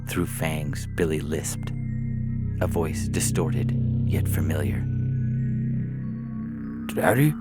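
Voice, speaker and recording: Really deep guy narrating, slow and theatrical, music playing under him. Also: steady tone, vocal fry. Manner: theatrical, deliberate. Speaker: story narrator and podcast reader. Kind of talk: dramatic scripted narration. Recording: music behind the voice.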